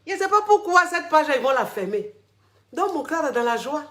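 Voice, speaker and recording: A man's voice: very high-pitched, big pitch swings, normal speed, pushed and bright. Effusive man in his forties. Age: 40s. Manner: effusive, repeats phrases, tags many sentences with a filler word. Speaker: relationship coach. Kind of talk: casual live stream to followers.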